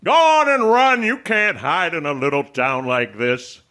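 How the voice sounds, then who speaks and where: Gloating old tycoon for radio drama, played by a man: scornful, forceful, swooping pitch. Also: lively intonation, unhurried, a little hiss or hum. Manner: sneering, scornful, declamatory. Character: cruel, gloating old male rich banker. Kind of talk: radio theater acting.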